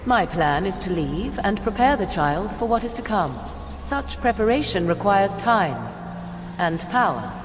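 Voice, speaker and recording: A haughty, guarded witch in a fantasy video game, with stately old-fashioned phrasing. Her mid-pitched voice rings with long reverb over clear background noise. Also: very animated. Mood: grave, ominous. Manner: formal archaic phrasing, haughty and measured. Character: proud, secretive, guarded witch woman. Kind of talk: dramatic video game acting.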